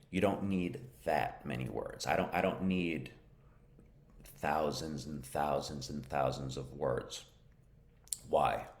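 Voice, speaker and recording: An American man teaching English in a live-stream lesson, answering viewer questions in a slow, encouraging way and repeating key phrases. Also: extremely low pitch. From United States.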